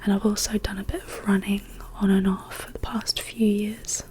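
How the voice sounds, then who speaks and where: A woman speaking as an ASMR host in a hushed, whispered, casual question-and-answer style. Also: mid-range voice, level tone. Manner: slow, hushed, gently paced delivery.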